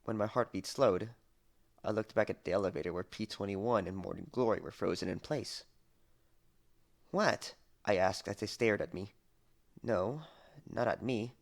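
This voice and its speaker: A man's voice: on the low side, moderate pace, gravelly. A young man reading a story as dramatic scripted narration, recorded on an amateur headset mic with some noise. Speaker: audiobook narrator.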